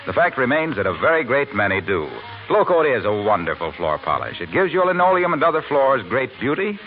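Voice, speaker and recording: A man delivers a polished, sincere sponsor message as a 1940s radio announcer. His mid-pitched voice is very animated and emphatic, on a muffled old recording with faint music. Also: a little fast. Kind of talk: 1940s scripted sponsor advertisement.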